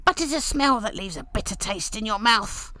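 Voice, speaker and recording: A woman's voice: medium pitch, lively intonation, moderate pace, sharp tone, dry recording. A woman voicing a dotty, kindly old grandmotherly storyteller in a comic dub, dreamy and confiding. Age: older.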